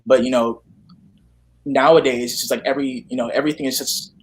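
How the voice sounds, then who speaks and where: Earnest, halting man with faint background noise. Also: medium pitch, steady tone. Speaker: guest, actor. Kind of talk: casual conversational answer.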